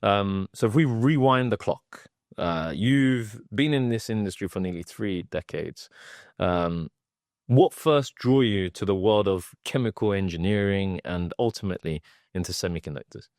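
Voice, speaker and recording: A man's voice: fairly low pitch, normal speed, a little mellow, no echo, clean studio sound. A man hosting a podcast interview, enthusiastic and full of fillers. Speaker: podcast host.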